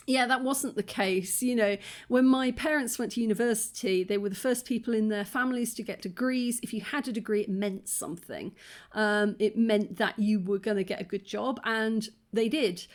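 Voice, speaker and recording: A woman hosting a reaction video with a croaky voice, talking in an outspoken, indignant way with plenty of fillers. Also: fairly high pitch. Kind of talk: casual reaction commentary.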